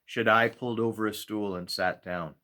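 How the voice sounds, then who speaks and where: A man reads a children's story aloud as a pastor at storytime, in a measured, expressive way with deliberate pauses. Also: on the low side. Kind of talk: storytime read-aloud narration.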